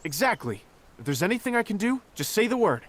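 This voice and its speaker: Eager, lively young male companion. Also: high voice, fast talker. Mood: reassuring, encouraging. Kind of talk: video game character acting. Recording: music behind the voice.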